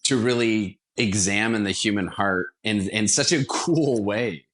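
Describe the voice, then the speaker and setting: Man, fairly low pitch, enthusiastic, emphatic, casual conversational delivery, podcast guest. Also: measured pace, a bit bright. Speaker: podcast guest, theater founder.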